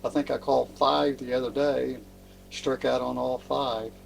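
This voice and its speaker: Gravelly-voiced American man. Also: medium pitch, level tone, moderate pace, slight background noise, low-quality recording. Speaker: guest, sailor. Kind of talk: casual chat.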